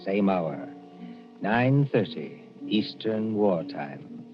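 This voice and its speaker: Over music on a dull vintage radio recording, an American man announces solemnly. His low voice moves slowly and deliberately through the script in 1940s broadcast style. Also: lively intonation. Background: loud music.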